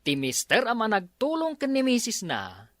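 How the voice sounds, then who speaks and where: A man's voice: high-pitched, huge pitch swings, normal speed, a bit bright, dry recording, studio quality, heavily acted. A man with an Ilocano accent acting an earnest, dutiful husband and father in a comedy skit. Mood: self-righteous.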